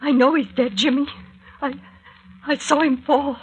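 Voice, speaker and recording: A woman's voice: high-pitched, fairly even intonation, moderate pace, natural voice. An actress with an American accent playing a frightened, wounded young woman, breathless and wailing in 1940s radio melodrama style. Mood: frightened, pleading. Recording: vintage 1940s radio recording.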